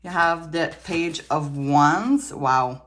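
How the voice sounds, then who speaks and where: Quebec French woman, low-ish voice, chatty tarot reader, laughs a lot, a bit tired. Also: slow, fairly bright tone, a little hiss or hum. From Quebec, Canada. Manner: chatty, laughs often, trails off and restarts. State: a little tired. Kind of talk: casual tarot reading to camera. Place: indoors at a table with trinkets.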